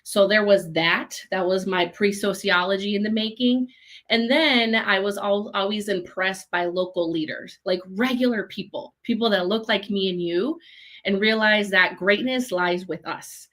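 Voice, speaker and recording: A woman's voice: medium pitch, normal speed, a bit bright. A young woman from Chicago, raised in Minnesota, speaking as a guest on a video call interview. She talks in an earnest, upbeat way with frequent fillers. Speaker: guest, community leadership program leader. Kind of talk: casual interview conversation.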